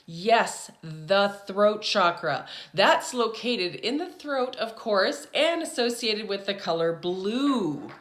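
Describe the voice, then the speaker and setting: A woman's voice: mid-range voice, lively intonation, takes it slowly, few pauses, a bit bright. A woman teaching her viewers in a casual, chatty way, often checking in with "okay?" and "right?" as she talks. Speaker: spiritual teacher and channeler. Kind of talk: casual instructional talk to viewers.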